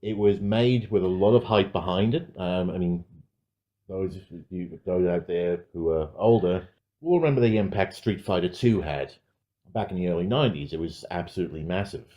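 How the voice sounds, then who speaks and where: A man's voice: low voice, normal speed, dull audio. A man giving casual review commentary as a podcast panelist, with a wry, dry humour.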